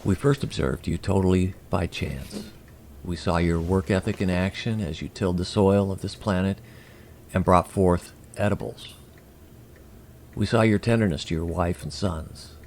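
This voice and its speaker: Alien emissary for sci-fi audio drama, played by a man: low, gravelly, courteous and formal, faint noise behind. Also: a little mellow. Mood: solemn, explanatory. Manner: formal, measured, precise wording. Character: polite, curious, formal male alien emissary.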